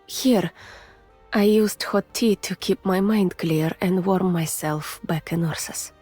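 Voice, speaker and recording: Slow breathy russian lady scientist, gentle and weary, game character, very close and whispery, music behind. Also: on the low side, dry recording. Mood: calm, reflective. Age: adult, mature. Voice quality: soft, breathy, often near a whisper. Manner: measured, formal, restrained. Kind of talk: soft, intimate ASMR-like game voice acting.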